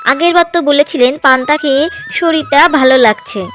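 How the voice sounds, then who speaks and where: A woman's voice: high voice, brisk, a bit bright, faint music behind. A woman voicing a caring young farmer's wife in a cartoon story, tender, patient and earnest.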